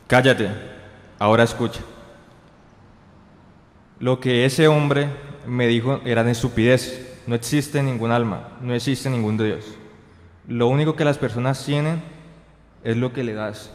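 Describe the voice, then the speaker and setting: A man's voice: medium pitch, steady tone, a little slow, pauses often, natural voice. A young man playing an impatient, dismissive, proud brother in an amateur radio drama, stiffly reading from a script. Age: young adult. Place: indoor green-screen studio. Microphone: handheld dynamic microphone held close. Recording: reverberant hall-like echo.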